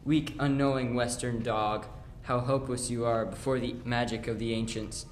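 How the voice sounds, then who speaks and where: A man's voice: mid-range voice, level tone, normal speed, lightly acted. A teenage boy playing a scheming, scornful sorcerer's pupil in a school radio play, with grand, declamatory delivery. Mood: scornful, gloating. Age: young teenager. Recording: roomy echo, faint background noise.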